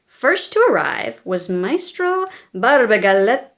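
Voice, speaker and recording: Woman, slow pace, mid-pitched voice, expressive storybook narration, theatrical delivery aimed at children. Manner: theatrical, addresses children directly. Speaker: children's audiobook reader.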